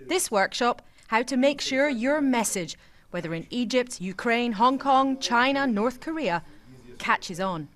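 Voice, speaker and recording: Brief: female news reporter, slightly higher voice, measured scripted narration with a faintly wry edge. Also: lively intonation, a bit bright.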